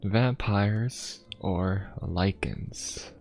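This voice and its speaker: A man playing a courteous, inquisitive young pope of a steampunk fantasy world in an ASMR roleplay, speaking politely, formally and in a gently musing way. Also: low voice, drawn-out words, gravelly, slight background noise, full character voice. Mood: musing, friendly. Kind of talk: ASMR roleplay addressed to the listener. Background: music.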